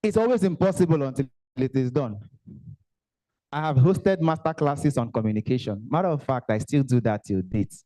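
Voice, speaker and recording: A young man with a Nigerian accent giving an animated motivational talk at a career summit, dropping Pidgin asides and bantering with the audience. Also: mid-range voice, moderate pace, low-quality recording. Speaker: motivational speaker. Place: event hall.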